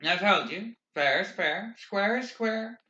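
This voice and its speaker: A cool, sardonic young man speaks in even, clipped jabs, as a man voices him. He is pitched up and bright, and his words sound crisp. It is heard in a roomy, slightly dull recording. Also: slow pace, exaggerated voice. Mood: dry, needling. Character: wry, hard-edged young man, calm and cutting.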